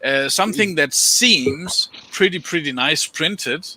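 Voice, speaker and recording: A man from Brazil chatting in a casual video call, easily amused and quick to laugh. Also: fairly high pitch, expressive, pushed and bright, poor audio. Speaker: hangout participant.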